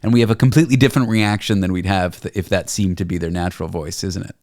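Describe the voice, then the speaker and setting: A man hosting a radio talk show, putting quick, conversational questions to a guest and introducing the next segment. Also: low voice, fairly even intonation, a little mellow, no echo, studio quality.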